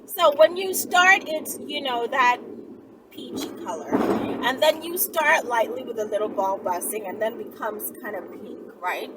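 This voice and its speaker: Sassy woman vlogging over loud background noise. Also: a little high, unhurried. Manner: informal, sassy, emphatic, repeats herself for emphasis. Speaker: online host answering viewer questions. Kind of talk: casual chatty vlog talk. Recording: distant mic, loud vehicle-like background noise.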